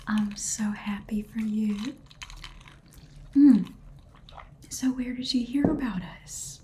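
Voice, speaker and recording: Sassy chatty Southern saleswoman, voiced by a woman: slow sugary whisper, warm, faint typing behind. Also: on the high side, a little hiss or hum. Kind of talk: whispered ASMR roleplay.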